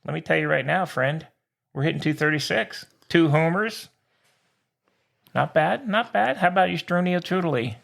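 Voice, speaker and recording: A man co-hosting a sports podcast, joking and animated, repeating short phrases with buddy-style slang. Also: a little high, moderate pace, vocal fry. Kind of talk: casual sports banter.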